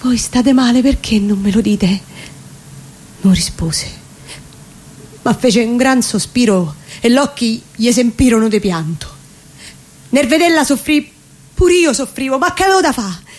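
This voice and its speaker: A woman with a Roman accent playing a distraught working-class man confessing in dramatic dialect verse, pleading and halting. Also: a little high, expressive, a little mellow, breathy edge. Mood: grieving. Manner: pleading, halting, emotive delivery. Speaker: reciting actress. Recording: live radio performance with background noise.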